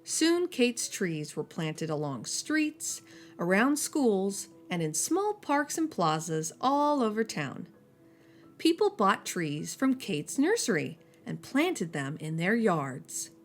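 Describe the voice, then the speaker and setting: Slow, lively woman reading a picture book. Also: mid-range voice, soft background music. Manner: slow, expressive reading that drops soft on each refrain. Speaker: storyteller. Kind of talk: children's picture book read-aloud.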